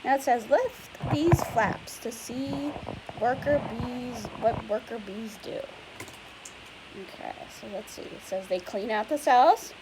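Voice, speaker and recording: A woman's voice: fairly high pitch, slow pace. A woman reading a picture book aloud to young children, patiently explaining it in simple words and putting questions to the listener. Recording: clear background hiss and noise.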